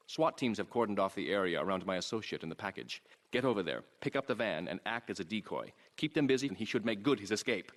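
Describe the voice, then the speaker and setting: An actor playing a composed crime boss in a video game, giving orders in a formal, commanding way. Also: male voice, fairly low pitch, lively intonation, fast talker. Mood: businesslike, commanding. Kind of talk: scripted video game cutscene acting.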